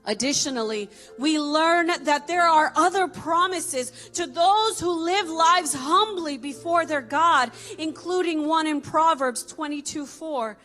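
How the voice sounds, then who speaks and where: A woman reading a scripted devotional article in a measured, deliberate way with pauses between phrases. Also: high-pitched, fairly bright tone.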